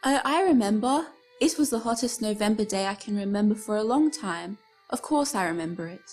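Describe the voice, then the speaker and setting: A woman's voice: medium pitch, animated, fairly quick, darkish tone, faint music behind, natural voice. An actress playing a vain, outspoken country woman of the 1910s in a radio play, prim and opinionated. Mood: indignant. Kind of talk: nostalgic radio play acting.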